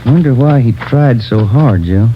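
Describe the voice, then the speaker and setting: An actor playing a concerned police detective partner who speaks quietly, in a 1950s radio drama. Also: male voice, medium pitch, expressive, a little slow, natural voice. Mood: subdued. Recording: dull hissy old radio broadcast.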